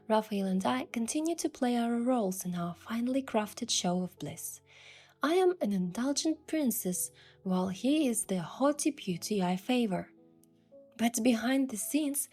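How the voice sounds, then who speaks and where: Woman as mellow, soft-spoken young princess narrator. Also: fairly high pitch. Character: curious young woman posing as an indulgent princess. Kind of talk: scripted first-person story narration with acted dialogue.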